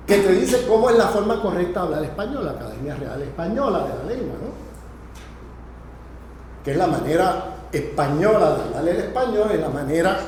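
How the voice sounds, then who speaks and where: High-voiced male lecturer in an echoey room. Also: a little mellow. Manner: didactic, emphatic, punctuates points with check-in tag questions. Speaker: lecturer teaching a class. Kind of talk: improvised academic lecture. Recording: very echoey room, faint noise.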